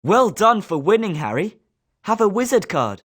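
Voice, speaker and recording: A woman's voice: medium pitch, big pitch swings, normal speed, fairly bright tone. A woman with a British accent voicing a confident, sporting schoolboy wizard with a competitive streak in a video game. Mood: congratulatory. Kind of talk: scripted video game character lines.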